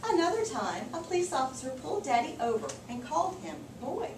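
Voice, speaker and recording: A woman's voice: high voice, big pitch swings, a little fast. A woman teacher reading aloud and talking with a group of children. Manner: repeats and affirms listeners' answers. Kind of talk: storybook read-aloud and class discussion. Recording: echoey, noisy room, distant microphone.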